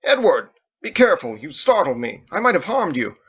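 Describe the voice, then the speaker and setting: A man's voice: high-pitched, big pitch swings, brisk, fairly bright tone, husky, lo-fi sound, pitched above the performer's own voice, full character voice. A man voicing a stern, irritable young church knight in a scolding, emphatic way for a story reading. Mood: startled anger.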